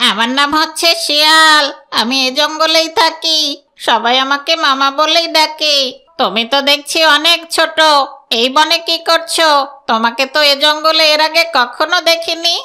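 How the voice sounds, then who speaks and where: A woman voicing a sly, wicked, cackling fox in an animated fairy tale. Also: high-pitched, measured pace, heavily acted. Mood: sly, coaxing. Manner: gloating, cackling, falsely friendly. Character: sly, wicked predatory fox who tricks ducklings.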